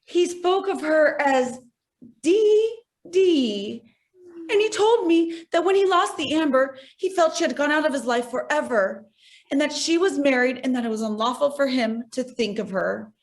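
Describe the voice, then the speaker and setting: An assured society lady with a fairly high, lively voice, played by a woman. She is a composed romantic schemer, speaking theatrically as in an old stage comedy. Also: normal speed. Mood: earnest. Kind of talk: stage acting in an online one-act play.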